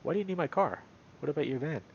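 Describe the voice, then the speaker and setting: A man voicing a shaken, woozy male ram character in a visual novel, halting and confused. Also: a little high, expressive, gravelly, a little mellow, highs cut off, slight background noise, voice pushed up. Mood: suspicious.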